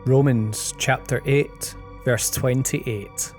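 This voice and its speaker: A man reads scripture at a slow, unhurried pace. His voice is of medium pitch, with faint music playing behind it. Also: steady tone, darkish tone. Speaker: scripture reader. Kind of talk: scripted Bible reading.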